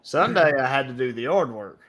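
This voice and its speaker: A young man co-hosting a casual podcast from home, talking in a loud voice that carries and telling stories in a chatty way. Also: mid-range voice, expressive, fairly quick, highs cut off. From United States. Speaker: podcast co-host. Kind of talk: casual chat. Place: home, remote recording. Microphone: home podcast mic.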